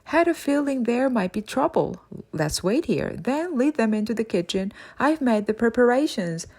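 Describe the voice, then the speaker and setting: A woman's voice: a little high, very animated, normal speed, darkish tone. A woman voicing a plucky, kindly workhouse kitchen woman in a storybook, with a colloquial, urgent way of talking. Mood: conspiratorial.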